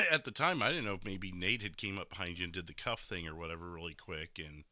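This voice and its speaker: Casting: informal male gaming host, lowish voice, strikingly bright and forceful. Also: quick pace, dry recording. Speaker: stream and podcast host. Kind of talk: casual chat reviewing game roleplay.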